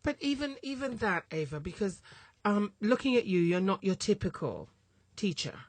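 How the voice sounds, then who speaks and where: A woman's voice: mid-range voice, very animated, moderate pace, no room sound. A woman from England hosting an interview, asking thoughtful questions with an English accent. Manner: thoughtful questioning, supportive murmurs. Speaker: host. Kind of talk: casual interview.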